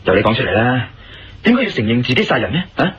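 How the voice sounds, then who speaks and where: A man's voice: mid-range voice, sing-song, quick pace, crowd noise, lightly acted. A man with a Hong Kong Cantonese accent playing a persistent, probing investigator in a radio drama. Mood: reasoning, persuasive. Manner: probing, persuasive. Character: male investigator, persistent, reasoning, questioning a suspect. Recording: lo-fi radio sound with music behind.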